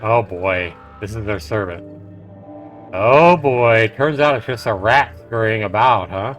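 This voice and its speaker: A man's voice: on the low side, expressive, unhurried, fairly bright tone, soft background music. A man streaming a game, reading a visual novel aloud and adding casual commentary.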